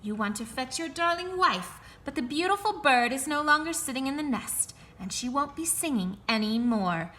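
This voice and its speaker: A woman's voice: high voice, lively intonation, pitched above the performer's own voice. A woman playing a cruel old sorceress. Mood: scornful. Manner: slow, menacing, loud cries.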